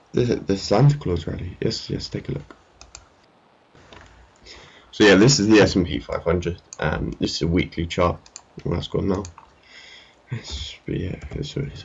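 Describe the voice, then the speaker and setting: A man's voice: low-pitched, gravelly, a little mellow, slightly rough, muffled recording. A man giving casual, unscripted commentary on a screen as a market analyst, hesitant and rambling. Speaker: trading analyst presenting his market update. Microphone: amateur screen-recording voice-over.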